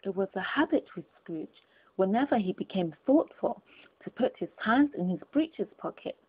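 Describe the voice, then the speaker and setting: A woman's voice: medium pitch, animated, moderate pace, husky. A woman reading a classic story aloud as an audiobook, in dramatic scripted narration. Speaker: audiobook reader. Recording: thin, phone-like lo-fi audio.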